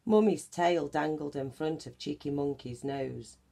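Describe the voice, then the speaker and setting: A woman reading a children's picture book aloud in an animated, deliberate manner. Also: deep voice, gravelly. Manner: animated, deliberate, acts out sound effects. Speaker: children's story reader. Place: small bedroom at home, indoors.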